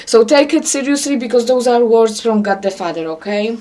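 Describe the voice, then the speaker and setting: A woman's voice: fairly high pitch, expressive, fairly quick, dark tone. A woman from Poland with a Polish accent and Polish as her first language, giving an earnest religious monologue to camera. She repeats herself often and keeps checking in with "okay?". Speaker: self-described prophet.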